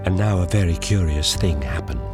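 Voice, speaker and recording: A man narrating a children's fantasy audio drama as a measured, deliberate storyteller. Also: deep voice, lively intonation. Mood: solemn wonder. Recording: loud music behind the narration.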